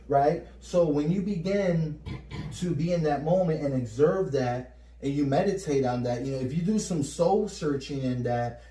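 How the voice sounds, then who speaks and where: A Puerto Rican man co-hosting a casual spiritual chat, energetic and repeating words as he gets going. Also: fairly high pitch. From Puerto Rico. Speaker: podcast co-host. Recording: roomy echo, mic at a distance.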